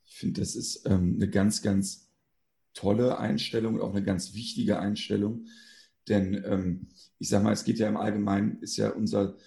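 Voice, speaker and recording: A man's voice: fairly low pitch, little pitch movement, normal speed, vocal fry, warm tone. A man hosting a casual podcast interview, informal and searching for his words as he goes. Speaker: podcast host.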